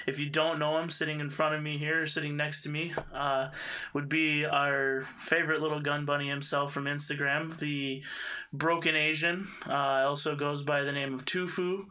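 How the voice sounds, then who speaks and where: A man's voice: a little high, bright, forceful tone. A male podcast host chatting casually, loose and joking, now and then swearing. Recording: distant mic with faint noise.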